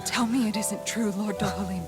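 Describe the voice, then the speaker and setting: A woman's voice: medium pitch, fairly even intonation, normal speed, over music, natural voice. A woman voicing a fierce young captain in a dramatic video game, grieving and betrayed, with raw intensity. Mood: hurt disbelief.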